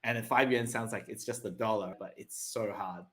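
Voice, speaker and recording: A man's voice: on the low side, steady tone, normal speed, fairly bright tone. A man, talking loosely as a podcast guest and often checking in with tag questions. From Australia. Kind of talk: casual interview talk.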